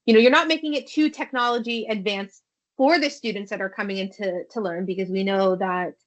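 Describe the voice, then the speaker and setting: A woman hosting a podcast, asking her guest questions in a loose, conversational way. Her phrasing is relaxed and informal, and she leans into casual chat. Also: mid-range voice, fairly quick, muffled recording. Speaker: podcast host.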